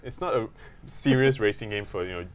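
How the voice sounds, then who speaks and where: A man co-hosting a gaming podcast, chatting casually in a jokey, animated storytelling way. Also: on the low side, a little fast, clean tone. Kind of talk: casual chat about video games.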